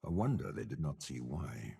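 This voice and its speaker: An actor playing a commanding, menacing, scheming old warlord general in a video game, with a gravelly, husky voice and slow, disdainful delivery. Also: male voice, extremely low pitch, big pitch swings, mellow, full character voice. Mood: cold, ominous. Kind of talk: dramatic game character voice lines.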